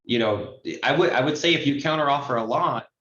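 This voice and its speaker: A man's voice: medium pitch, a little fast, pushed and bright. A man hosting a conversational Q&A on a group call, explaining and advising with emphasis. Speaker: host and notary trainer taking questions. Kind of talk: conversational Q&A advice. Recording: muffled, roomy online call audio.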